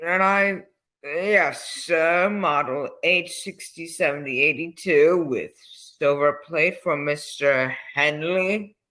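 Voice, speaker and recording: Man acting a slow, bright, eerie salesman. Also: on the high side, rough recording. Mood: sinister cheer. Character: eerily cheerful, obliging male coffin salesman. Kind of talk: 1940s radio thriller acting.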